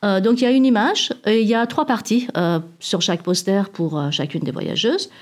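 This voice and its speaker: A woman's voice: medium pitch, brisk. A woman from Iran, a university researcher answering questions about her project, speaking with many fillers and restarts. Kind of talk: academic interview answer.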